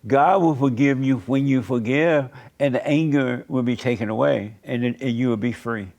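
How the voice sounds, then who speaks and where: A man's voice: medium pitch, normal speed, a little mellow, lo-fi sound. Blunt, insistent male host giving counsel. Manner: blunt, insistent, assured, repeats himself. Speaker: talk show host. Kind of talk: interview with preacherly counsel.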